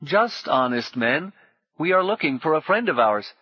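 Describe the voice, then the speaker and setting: A man's voice: a little high, sing-song, normal speed, no echo, dull audio, pitched above the performer's own voice. A man doing a sickly sweet menacing stranger. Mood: coaxing. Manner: smooth, falsely kind, coaxing.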